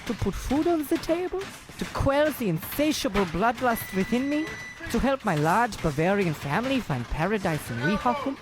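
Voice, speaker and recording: A man's voice: high-pitched, sing-song, normal speed. A man playing a naive, easily confused young Bavarian boxer with a theatrical, flowery way of talking in a comic radio play. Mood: grandiose, effusive. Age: young adult. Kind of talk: comic old-time radio play acting.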